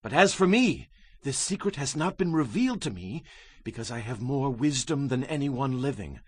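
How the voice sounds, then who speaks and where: A man's voice: medium pitch, big pitch swings, moderate pace, slightly rough, no echo. An actor playing a solemn male prophet, declaiming emphatically in a dramatized scripture reading. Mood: solemn, awed. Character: Daniel, male prophet solemnly interpreting a king's dream.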